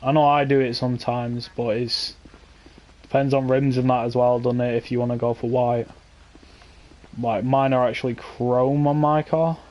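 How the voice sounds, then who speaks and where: A man's voice: medium pitch, level tone, normal speed, a little mellow. A young man with an English accent, chatting casually and offhandedly with viewers while hosting a game stream. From England. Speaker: streamer hosting a car meet. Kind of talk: casual gaming stream chat.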